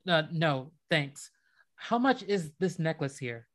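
An actor playing a weary, practical husband in a radio play, casual and resigned. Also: male voice, a little high, expressive, takes it slowly, a bit bright. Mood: businesslike. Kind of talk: naturalistic radio play acting.

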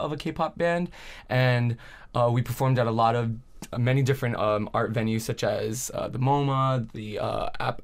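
Young band leader, measured and hesitant. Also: male voice, mid-range voice. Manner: measured, many "uh" and "um" pauses. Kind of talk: explaining, casual interview. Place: radio studio. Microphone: studio mic on a stand.

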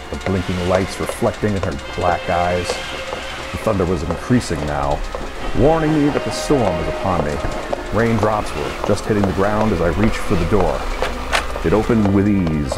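A man playing a brooding, lovelorn noir hero who narrates his own doom in a grave, measured old-time radio suspense style. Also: low voice, expressive. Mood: ominous, brooding. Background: music and storm sound effects.

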